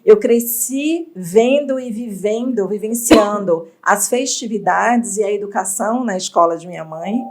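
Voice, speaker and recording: A woman from Bahia, an entrepreneur telling her story in an interview, reflective and expressive. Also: medium pitch, unhurried, few pauses, background noise. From Bahia, Brazil. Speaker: entrepreneur guest.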